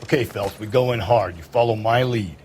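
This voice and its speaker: An American man playing a crude, cynical 1940s homicide detective in a crime game, gruff and wisecracking. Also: fairly low pitch, a little fast, a little mellow, a little hiss or hum, lightly acted. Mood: brash, hard. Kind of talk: period crime game acting.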